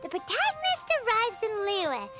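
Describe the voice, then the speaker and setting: A woman voicing a tiny, childlike floating companion in a video game, squeaky, eager, bossy and dramatic. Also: huge pitch swings, normal speed, exaggerated voice. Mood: excited. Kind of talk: video game character acting. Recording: game audio over background music.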